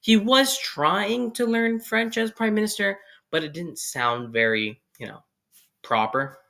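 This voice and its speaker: A man's voice: sits high, a little slow, gravelly, sharp tone, a little hiss or hum. A young man narrating a casual explainer video with jokey, sarcastic asides. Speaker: video creator.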